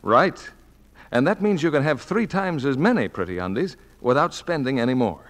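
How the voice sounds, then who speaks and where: A man doing polished announcing and sponsor pitches in 1940s radio style. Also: very animated, normal speed.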